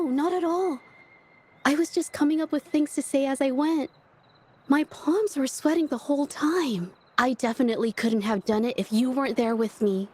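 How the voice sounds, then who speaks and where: A woman playing a shy, earnest young female painter in an anime-style video game, gentle-hearted and sincere. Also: high voice. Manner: soft-hearted, earnest, hesitant when flustered. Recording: music behind the voice. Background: game soundtrack music.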